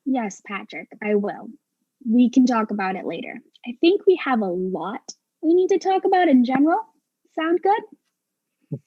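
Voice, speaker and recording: A woman's voice: on the high side, big pitch swings, measured pace, slightly rough, no room sound, heavily acted. A woman playing a patient but exasperated wife, dry and reproving, in a comedic audio drama on a dull microphone.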